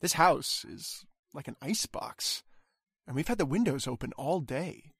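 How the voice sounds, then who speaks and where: A man's voice: a little high, expressive, a little slow, vocal fry, slightly rough, no room sound, studio quality, full character voice. A man playing an uneasy, grumbling husband in an old-time radio drama, conversational and hesitant. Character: uneasy, grumbling husband in a grand cold house.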